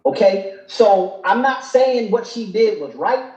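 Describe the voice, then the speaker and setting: A man's voice: sits high, lively intonation, unhurried, a little mellow, echoey room, highs cut off. A man in his fifties on a live talk show, making emphatic points and tagging them with "okay?". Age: 50s. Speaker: guest.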